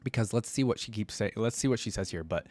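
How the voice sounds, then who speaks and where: A man's voice: lowish voice, fast, a little mellow. A man hosting a reaction video, reading a story aloud and commenting on it in a casual, slangy way. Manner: casual, slangy, thinking aloud between passages.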